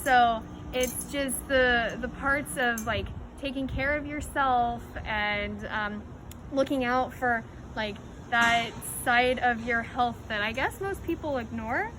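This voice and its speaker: A woman's voice: on the high side, slow pace, noisy background. A young woman in the audience answering a question hesitantly, with many "likes" and "ums". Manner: hesitant, full of "like" and "um". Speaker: audience member.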